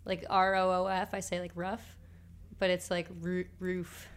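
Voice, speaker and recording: Low-pitched woman musician, easygoing chat. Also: level tone, unhurried, clear, resonant tone. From New York. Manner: easygoing, hesitant with ums, laughs easily. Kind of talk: casual chat about new songs.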